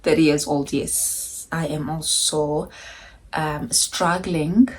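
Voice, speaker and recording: A woman's voice: sits low, steady tone, slow pace, husky. A South African woman in her thirties talking to camera in a chatty, candid vlog with wry asides. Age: 30s. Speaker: vlogger.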